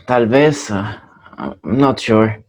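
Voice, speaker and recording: A man's voice: lowish voice, takes it slowly, a little mellow. An actor playing an earnest young Mexican man who mixes in Spanish phrases, in a play reading.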